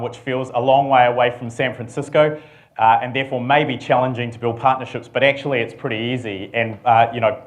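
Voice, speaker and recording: A middle-aged man with a New Zealand accent, a company CEO giving a relaxed conference presentation with dry humor and frequent ums. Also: medium pitch.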